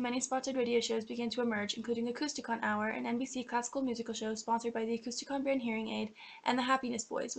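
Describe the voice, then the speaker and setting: A woman's voice: on the high side, vocal fry. A young woman reading scripted documentary narration for a student history project.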